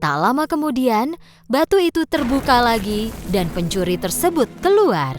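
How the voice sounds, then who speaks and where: A woman's voice: on the high side, big pitch swings, moderate pace, soft background music. A woman narrating a children's storybook tale from a script.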